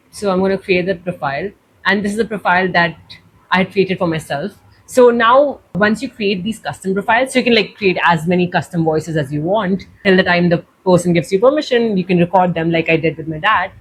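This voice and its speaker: A young woman with an Indian accent hosts a casual tutorial. Her voice sits a little low, and she explains step by step in a loose, chatty way that keeps reaching for "like." A faint background noise sits under the recording. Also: normal speed, rough recording. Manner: chatty, leans on "like" while explaining. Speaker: tutorial host.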